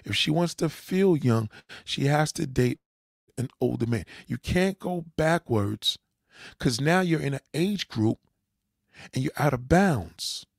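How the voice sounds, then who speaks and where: A man with a gravelly, fry-edged voice at an ordinary pitch lectures bluntly, swearing now and then. He keeps checking that the listener understands. The sound is dry and close. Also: lively intonation, slow pace, no room sound. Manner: lecturing, repeats "you understand?". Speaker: dating advice commentator. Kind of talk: reaction commentary.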